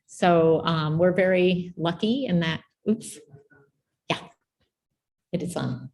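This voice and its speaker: A soft-spoken middle-aged woman with a quiet voice, giving a friendly, informal public science talk as guest speaker. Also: on the low side, slow pace.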